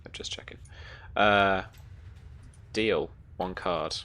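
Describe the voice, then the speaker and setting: A game master running a tabletop roleplaying game, explaining rules step by step in a relaxed, informal way. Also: sits low, slow.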